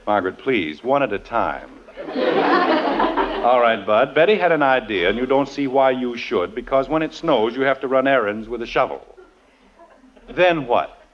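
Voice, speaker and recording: A man's voice: mid-range voice, lively intonation, normal speed, close to an everyday voice. An American man playing a patient, teasing middle-aged father with dry mock formality in an old-time radio family comedy. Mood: wry, patient. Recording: dull, noisy old radio recording.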